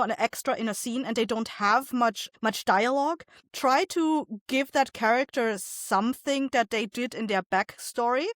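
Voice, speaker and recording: A woman speaking as an interview guest, explaining things informally and a little haltingly as she thinks. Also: a little high, fairly bright tone, dry recording. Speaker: podcast guest, audio drama writer, director and voice actor. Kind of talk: casual interview conversation.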